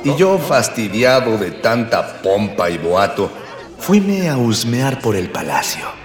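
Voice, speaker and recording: A man's voice: medium pitch, big pitch swings, slow, crowd noise. Man acting a grandiloquent, echoing radio-serial narrator. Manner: mock-archaic, grandiloquent storytelling. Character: florid ex-policeman narrator, male companion of the hero. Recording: reverberant, music underneath.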